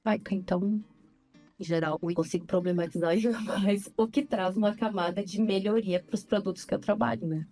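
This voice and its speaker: Podcast guest: woman, warm dark tone, lively and quick, faint music under her. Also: medium pitch. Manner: animated, talks fast with many repeats. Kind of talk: casual interview conversation.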